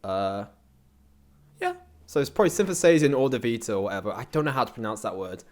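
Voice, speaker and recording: A man's voice: medium pitch, lively intonation, fast. A young man chatting casually as an interview guest, rambling along in a slangy, jokey way. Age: young adult. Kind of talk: casual chat.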